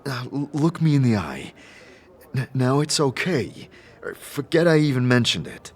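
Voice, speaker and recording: A man's voice: medium pitch, sing-song, slightly rough, voice pushed up. A man playing a roguish, casual adventurer in audio drama dialogue, speaking colloquially. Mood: flustered, soothing. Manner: colloquial, halting when flustered. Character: roguish male adventurer, casual, teasing, soon apologetic.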